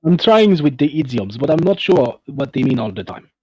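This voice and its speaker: A man's voice: mid-range voice, big pitch swings, moderate pace, darkish tone, husky, low-quality recording. A man voicing an earnest Russian soldier in a tabletop game, speaking clipped, broken English with a put-on Russian accent.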